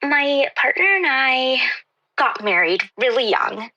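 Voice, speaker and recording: A naive young wife on a comedy call-in, embarrassed and hesitant. Her high, bright voice sounds close and dry as she sheepishly confides in halting phrases. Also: dry recording, dull audio, heavily acted. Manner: hesitant, sheepish, breaks into whispers.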